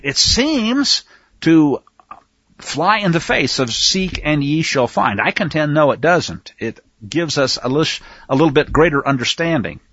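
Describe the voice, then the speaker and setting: Man, bible teacher, husky, lively pitch swings, dull lo-fi dry recording. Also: no echo. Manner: emphatic, sarcastic asides, frequent restarts. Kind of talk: folksy scripture commentary.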